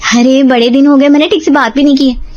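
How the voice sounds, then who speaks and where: A woman's voice: sits high, fairly even intonation, fast talker, darkish tone, highs cut off, soft background music, close to an everyday voice. A woman voicing a sly, lazy young daughter-in-law in a cartoon story, gleeful and chatty.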